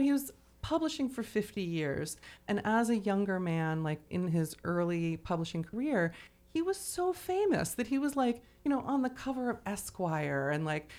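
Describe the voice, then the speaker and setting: A woman's voice: medium pitch, darkish tone. A woman hosting a podcast, discussing literature casually in a conversational way peppered with "like" and "you know". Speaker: podcast host.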